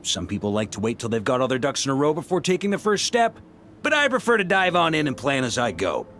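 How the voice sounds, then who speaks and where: An actor playing a cheerful, easygoing young knight who talks in a lively, chatty way. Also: male voice, mid-range voice, quick pace, a little hiss or hum. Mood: breezy. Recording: game audio with music.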